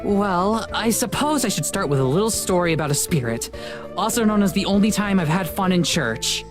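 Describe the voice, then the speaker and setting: A woman's voice: mid-range voice, expressive, normal speed, close to an everyday voice. A woman playing a young, salty-tongued paranormal show host in a visual novel, hiding heartbreak under casual patter. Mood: showy cheer. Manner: casual, salty, performed cheer. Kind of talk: visual novel character acting. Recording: music behind the voice.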